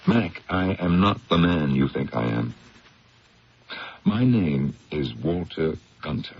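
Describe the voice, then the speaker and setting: Deep creaky weary lawyer, guarded and proud, muffled old radio play. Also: male voice, animated, slow, heavily acted. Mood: grave, resolute. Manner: guarded, educated, hesitant under pressure. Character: troubled, guarded male district attorney, weary, proud. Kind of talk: 1970s radio drama acting. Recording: muffled 1970s radio recording, faint hiss.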